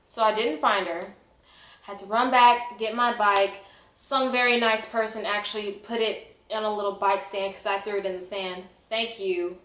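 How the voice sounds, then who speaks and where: A woman's voice: mid-range voice, fairly bright tone. Echoey young woman telling a dramatic story. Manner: self-mocking, dramatic, emphatic. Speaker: vlogger telling a personal story. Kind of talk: animated casual storytelling to camera. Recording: echoey, roomy sound.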